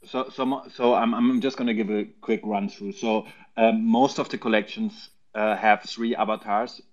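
A man explaining things casually as a panel guest, haltingly and often repeating words, over lo-fi voice chat audio. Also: fairly low pitch, fairly even intonation.

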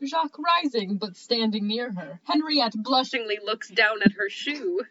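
A woman's voice: a little high, lively intonation, a little slow, fairly bright tone, slight background noise. A woman reading out the stage directions of a play as scripted narration. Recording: slightly dull lo-fi recording.

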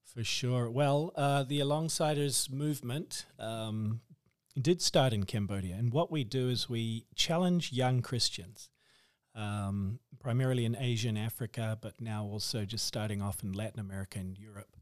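A man with a New Zealand accent, a guest in a podcast interview, speaking in an earnest, measured way with ums. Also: mid-range voice, no room sound, clean studio sound. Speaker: guest, ministry leader and author.

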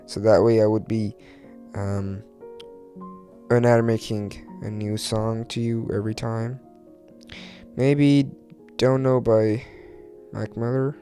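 A man's voice: on the low side, flat delivery, takes it slowly, pauses often, mellow, soft background music. A man from Iran who later moved to Turkey talks alone as a podcast host in a rambling, confessional way, hesitant and self-deprecating, swearing casually.

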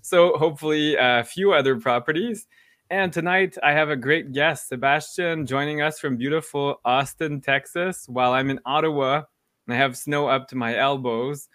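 A man's voice: on the high side, normal speed, a bit bright, dry recording, studio quality. A man hosting a casual interview, joking and poking fun at himself. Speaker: podcast host.

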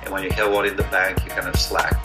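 A man's voice: low-pitched, monotone, fast talker, music in the background. A man in his forties from Bulgaria, a guest entrepreneur who talks reflectively in an interview with many ums and uhs. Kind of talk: conversational interview.

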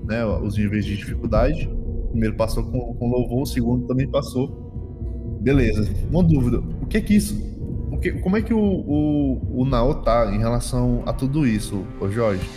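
A man's voice: medium pitch, moderate pace, over music. A Brazilian man running a tabletop game as game master, narrating off the cuff and often repeating words.

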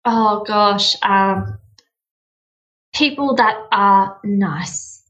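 A woman's voice: medium pitch, drawn-out words, a bit bright, low-quality recording. Young Australian woman, interview guest, bubbly and giggly, mid-range voice. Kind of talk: casual interview chat.

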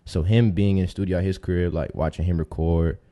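A man's voice: low voice, fairly even intonation, fairly quick, warm tone. A young American man of about twenty-one chatting casually as a podcast guest, telling stories in informal street slang. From Tampa, Florida, United States. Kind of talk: casual chat, storytelling.

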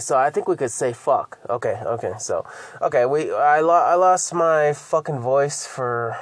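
A man's voice: mid-range voice, no echo. Rambling man hosting a podcast, dry sound. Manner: rambling, stammering, swears freely. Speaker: podcast host. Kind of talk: casual solo podcast chat.

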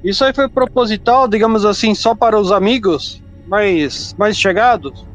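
Co-host: man, high voice, chatty, faint music underneath. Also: moderate pace, a bit bright. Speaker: podcast co-host. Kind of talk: casual interview chat.

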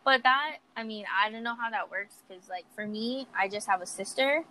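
A woman's voice: a little high, moderate pace, sharp tone, poor audio. A woman in her twenties from Hawaii, co-hosting a podcast in casual, chatty talk, laughing often and using fillers. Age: 20s. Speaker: podcast co-host. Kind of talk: casual chat.

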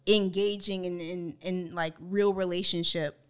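A woman's voice: lowish voice, steady tone, unhurried, smooth. A woman co-hosting a casual faith discussion, reflecting and building her points as lists.

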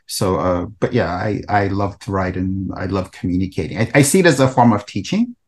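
A man's voice: low-pitched, fairly quick, a little mellow. A middle-aged man from El Salvador, an author answering interview questions in a thoughtful, conversational way. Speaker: author and researcher guest.

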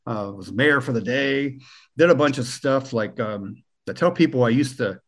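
American guy in his 60s, middle pitch, rambles through old stories. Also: moderate pace. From Pennsylvania, USA. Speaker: guest. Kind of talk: relaxed conversational storytelling.

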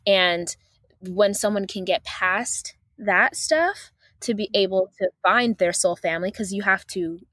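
A woman's voice: medium pitch, unhurried, fairly bright tone, no room sound. A woman from Uganda, raised in the States, talking reflectively in a casual conversation with playful asides.

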